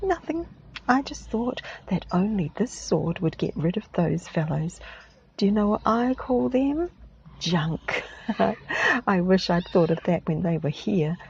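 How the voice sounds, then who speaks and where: Woman reader voicing a shouty, gleeful old great-grandad for a storybook; lo-fi sound, faint hiss. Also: mid-range voice, sing-song, takes it slowly, gravelly, heavily acted. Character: fiery very old great-grandfather brandishing a sword.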